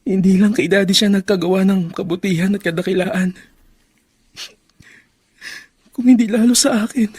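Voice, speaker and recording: Husky, tearful repentant son. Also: male voice, sits high, fairly even intonation, fast talker, darkish tone, no echo, studio quality. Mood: insistent, eager. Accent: Filipino. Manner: pleading, emotional, reverent. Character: repentant adult son in hospital, tearful and earnest. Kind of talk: Filipino radio drama acting.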